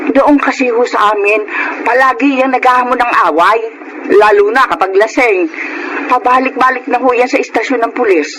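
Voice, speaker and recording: A woman's voice: a little high, normal speed, a bit bright, close to an everyday voice. A performer playing a humble, grateful middle-aged mother with a Filipino accent in a radio drama, a woman speaking deferentially and confidingly. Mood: worried. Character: humble, grateful, worrying mother of a grown son. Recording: noisy radio drama recording.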